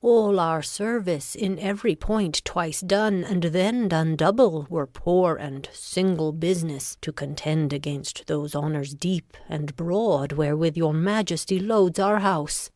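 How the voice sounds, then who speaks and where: A woman playing a ruthless, ambitious noblewoman in a deliberate, intense Shakespearean audiobook performance. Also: fairly low pitch, darkish tone, no echo, clean studio sound, lightly acted. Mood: courteous. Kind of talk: dramatic Shakespearean audiobook reading.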